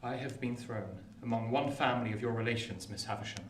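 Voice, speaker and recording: A man playing an earnest, lovelorn young gentleman in a radio play, speaking formally and with pleading passion. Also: medium pitch, brisk, close to an everyday voice. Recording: echoey, distant, noisy room.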